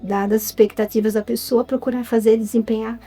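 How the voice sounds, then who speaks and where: This is a woman with a Brazilian Portuguese accent, speaking in a somewhat high voice. She chats animatedly as an interview guest, often tossing in little tag questions. Soft music plays faintly behind her. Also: little pitch movement, brisk. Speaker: guest, writer and actress. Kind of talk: relaxed interview chat.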